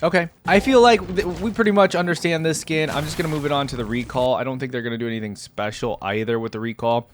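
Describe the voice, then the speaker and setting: A man's voice: medium pitch, fast, few pauses, slight background noise. A man giving casual reaction commentary in a conversational way, thinking aloud as he goes. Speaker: gaming video creator, reactor. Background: music.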